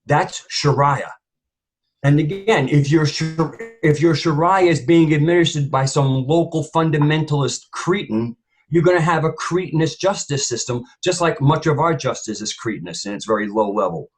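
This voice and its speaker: A man's voice: a little high, moderate pace, husky. A man speaking as a panelist in an opinionated discussion, rambling and emphatic, often repeating phrases for weight.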